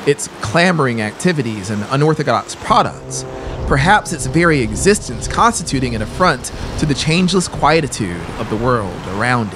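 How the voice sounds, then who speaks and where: A man in his thirties narrating a horror story in a deliberate, formal, intense, dramatic way. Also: medium pitch, background noise. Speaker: audiobook narrator. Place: small brick-walled room studio. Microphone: close boom-mounted broadcast microphone.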